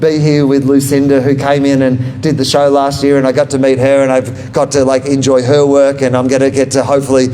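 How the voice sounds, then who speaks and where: A man's voice: medium pitch, completely flat delivery, fairly quick, hardly any pauses, warm tone, slight background noise. A man, a comedian and author talking about his book at a live bookshop event, rambling and self-deprecating. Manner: rambling, self-deprecating and jokey, laughs at own lines. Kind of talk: live book talk with audience questions. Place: bookshop event before an audience. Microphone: handheld microphone.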